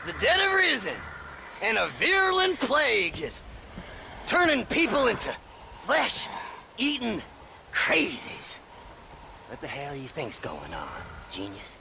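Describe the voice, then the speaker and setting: An actor voicing an unhinged, giddy Western eccentric with a rural American drawl in a video game. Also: male voice, high voice, wildly swooping pitch, slow pace, vocal fry, long pauses, background noise, heavily acted. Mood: manic, scornful. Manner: theatrical, drawling, wildly swooping delivery. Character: unhinged, scrawny male grave-robbing eccentric, giddy and erratic. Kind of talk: video game cutscene acting.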